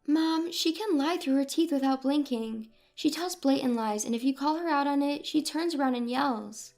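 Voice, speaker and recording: A woman's voice: high voice, normal speed, darkish tone, soft background music. A woman voicing an earnest, plaintive young granddaughter and bride-to-be in a narrated story.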